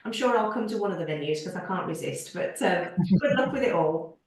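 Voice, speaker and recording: A woman's voice: on the low side, lively intonation, fairly quick, a little mellow. Woman interviewer in a very echoey room. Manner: chatty, with many "sort of" and "I mean" fillers and frequent "yeah" backchannels. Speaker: theatre review show host, interviewer. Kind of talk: conversational interview. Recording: roomy, distant mic.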